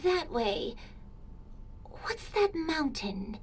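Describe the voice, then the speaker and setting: A woman voicing a gentle, confused elderly granny who speaks hesitantly, in an anime game dub. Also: high voice, sing-song, background noise, exaggerated voice. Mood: wistful. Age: older. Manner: slow, hesitant, halting. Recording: background music.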